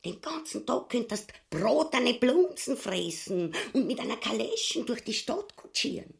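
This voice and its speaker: An actress with a Viennese accent playing a scheming, coarse wife in a satirical radio play, scornful and wheedling in a theatrical way. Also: female voice, on the high side, sing-song, vocal fry, exaggerated voice. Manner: scornful, wheedling, drawn-out mockery. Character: scheming, nagging, coarse, ambitious wife goading her husband.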